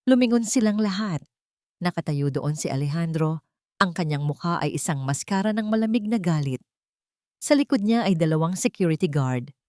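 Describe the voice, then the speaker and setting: A woman's voice: low-pitched, darkish tone, no room sound, studio quality. A Filipino woman reading a scripted dramatic story as its narrator.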